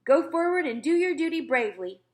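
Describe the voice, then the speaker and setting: A woman's voice: sits high, expressive, fairly quick, pitched above the performer's own voice. Woman playing a nervous male army commander. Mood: urging. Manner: commanding yet fearful. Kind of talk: children's storybook read-aloud.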